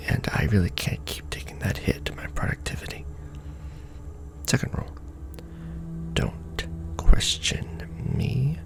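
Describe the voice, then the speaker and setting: Guy doing a jaded illuminati middle manager, asmr roleplay, dry and deadpan, deep voice that swings around a lot, music playing. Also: gravelly, heavily acted. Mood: stern, sardonic. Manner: dry, deadpan, world-weary, hushed asides. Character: jaded, sardonic male Illuminati manager briefing a new recruit.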